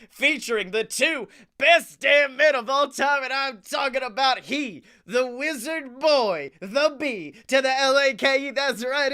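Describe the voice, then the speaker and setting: A boisterous man with a high-pitched, forceful voice. He banters like a comedy podcast host, swearing freely and playing up the showman hype. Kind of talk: loud comedic banter with mock announcer hype.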